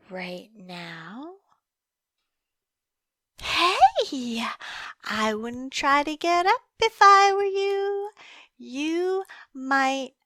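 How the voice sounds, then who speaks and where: Woman playing a slow, cooing, obsessive young yandere girl. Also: high-pitched, a bit bright, no room sound, studio quality, heavily acted. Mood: teasing, menacing. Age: young adult. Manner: cooing, teasing, slow and deliberate, breaking into whispers. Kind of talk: intimate scripted roleplay monologue.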